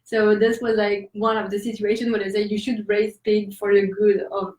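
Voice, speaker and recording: A woman's voice: mid-range voice, flat delivery, normal speed, darkish tone, distant mic. A woman with a French accent, answering interview questions as an author, hesitant with many fillers and laughing asides. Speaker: author guest. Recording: roomy echo, off-mic.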